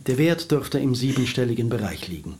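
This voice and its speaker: Precise, officious lawyer. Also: male voice, medium pitch, sing-song, darkish tone, a little hiss or hum. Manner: measured, officious, legalistic. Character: formal, precise, courteous male lawyer. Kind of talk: radio drama acting.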